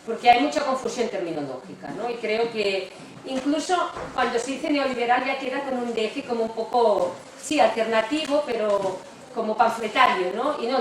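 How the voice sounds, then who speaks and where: A woman lecturer, a native Catalan speaker with a Catalan accent, giving a conversational, explanatory public talk punctuated by tag questions. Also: fairly high pitch. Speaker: university economics lecturer, guest speaker. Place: lecture room with strong echo. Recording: very echoey room, distant mic, noisy.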